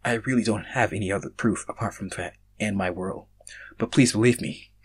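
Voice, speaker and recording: A man's voice: lowish voice, brisk, breathy edge. A man voicing a nervous, earnest foreigner from another world in a story reading, hesitant and pleading.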